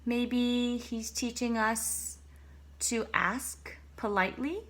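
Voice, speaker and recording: A young woman teacher speaking to first graders about a read-aloud, gentle and encouraging, pausing to think. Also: fairly high pitch, steady tone. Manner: gentle, encouraging, pauses with hmm.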